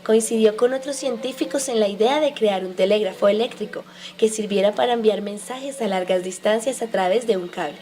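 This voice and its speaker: A woman plays the helpful wife of an inventor in an educational radio drama. Her voice has an average female pitch. She explains in a recited, scripted way. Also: a little fast, dark tone, close to an everyday voice. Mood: obliging.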